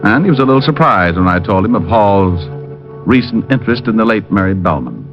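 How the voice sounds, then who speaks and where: An actor playing a wisecracking hard-boiled private detective who also narrates, in an old radio drama. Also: male voice, lowish voice, big pitch swings, a little fast. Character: wisecracking tough private detective, adult man. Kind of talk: 1940s-50s radio drama acting. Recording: dull old radio broadcast with music.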